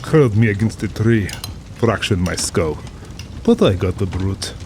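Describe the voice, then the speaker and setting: A man's voice: on the low side, lively intonation, unhurried, a little mellow, slight background noise. A man playing a cultured, proud general and big-game hunter in an audio drama, speaking formally and boastfully with polished self-assurance. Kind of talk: full-cast audio drama acting.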